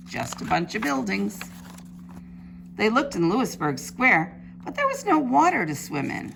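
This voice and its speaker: A woman preschool teacher reading a story aloud to young children in simple, deliberate phrases. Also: mid-range voice, gravelly, fairly bright tone, poor audio. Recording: faint background noise.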